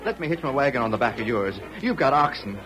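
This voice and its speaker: A man's voice: mid-range voice, expressive, fast talker, over music, natural voice, poor audio. Guy playing a folksy frontier husband in old radio western, worked up, voice really up and down. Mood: desperate, pleading. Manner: folksy, emotional, insistent. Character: desperate frontier husband, pleading and anxious homesteader.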